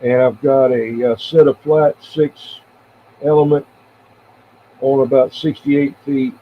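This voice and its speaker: An American man in his seventies chatting casually on CB radio in a halting, rambling, reminiscing way. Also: mid-range voice, fairly even intonation. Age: 70s. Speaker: CB radio operator and live streamer. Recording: tinny band-limited radio audio with faint noise.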